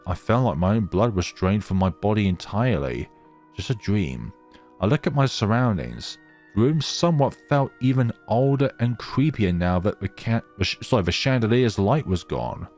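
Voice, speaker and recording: A man reading a horror visual novel aloud in a theatrical, halting way, with casual asides. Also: on the low side, a little mellow.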